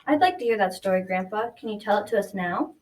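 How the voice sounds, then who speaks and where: A woman's voice: mid-range voice, animated, fast, a little mellow, lightly acted. A teenage girl playing an earnest, worried granddaughter in a school radio drama. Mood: curious. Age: young teenager. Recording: distant mic with background noise.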